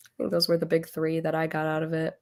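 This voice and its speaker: Chatty woman, voice going hoarse. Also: low voice, completely flat delivery, fast, background noise. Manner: chatty, laughs often, trails off. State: losing her voice, getting over illness. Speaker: book club host. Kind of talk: casual book discussion.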